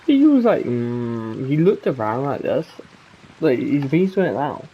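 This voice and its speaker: A man's voice: mid-range voice, sing-song, unhurried, lo-fi sound, slight background noise. A man giving casual, rambling commentary while playing a game, with muttered asides. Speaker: gaming video host.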